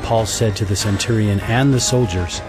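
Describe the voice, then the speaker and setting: A man narrating a scripture audio drama in a measured, solemn storytelling manner, reading from a script. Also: on the low side. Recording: music bed under the voice.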